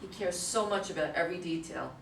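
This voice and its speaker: A woman's voice: on the low side, a little fast, vocal fry. A woman playing a chatty, devoted secretary who gushes about her boss, eager to please, in a staged play reading. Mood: gushing. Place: room at a live readers' theater reading. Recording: echoey room, distant mic, faint noise.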